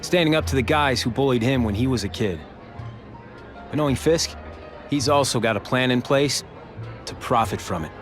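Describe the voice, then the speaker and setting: Guy playing friendly worldly rich young guy in a game, normal pitch, chatty, music in the background. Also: moderate pace, pauses often, close to an everyday voice. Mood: matter-of-fact. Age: young adult. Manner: casual, conversational. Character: affable, worldly young wealthy businessman, old friend. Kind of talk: video game cutscene acting.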